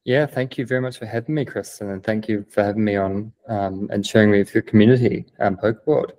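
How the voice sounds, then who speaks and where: A man's voice: lowish voice, fairly even intonation, fast talker, a little mellow. A young man with a New Zealand accent, explaining things eagerly on a remote video call, with many "um" fillers. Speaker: guest, business developer. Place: plain-walled room indoors.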